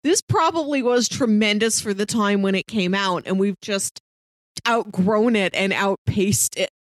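A woman's voice: medium pitch, a little slow. A woman co-hosting a podcast, discussing film casually in an articulate, reflective manner. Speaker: podcast co-host.

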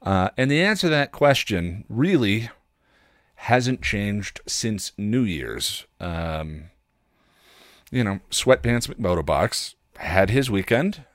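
Low-voiced man, wry and very animated. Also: no echo, clean studio sound. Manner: self-deprecating, wry; halting, reflective. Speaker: podcast host. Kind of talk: candid personal monologue.

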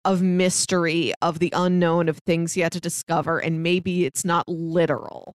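A woman's voice: on the low side, flat delivery, moderate pace, clear, resonant tone, dry recording, studio quality. A woman co-hosting a podcast, talking through a film in a casual, analytical way. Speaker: podcast co-host.

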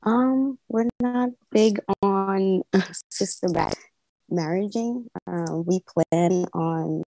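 A woman's voice: mid-range voice, slow, warm tone, no echo, low-quality recording. A woman in her twenties from the United States, a caller on a show, who talks in a hesitant, wordy way with many ums. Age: 20s. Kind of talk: casual conversation.

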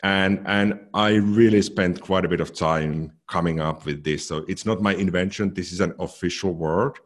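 A man with a very low, deep voice, co-hosting a podcast. He talks in a relaxed, thoughtful way and often doubles up words as he searches for them. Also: normal speed. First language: Finnish. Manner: thoughtful, repeats words as he goes. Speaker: podcast co-host. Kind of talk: casual technical chat.

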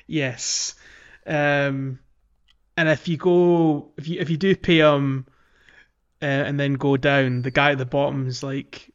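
A man co-hosting a gaming podcast, chatting casually and haltingly as he gathers his thoughts. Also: mid-range voice, flat delivery, clear, resonant tone, highs cut off. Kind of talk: casual chat about a video game.

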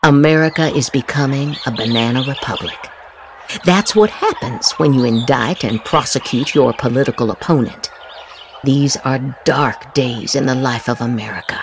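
A woman's voice: rumbling low, moderate pace, background noise. A woman podcast host doing a theatrical dramatic reading of social media posts, with exaggerated, emphatic inflection.

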